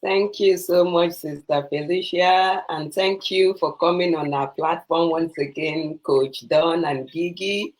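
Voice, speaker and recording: A woman's voice: fairly low pitch, steady tone, unhurried, few pauses. A woman with a Nigerian accent co-hosting a podcast, politely introducing herself and putting questions to guests. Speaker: podcast co-host.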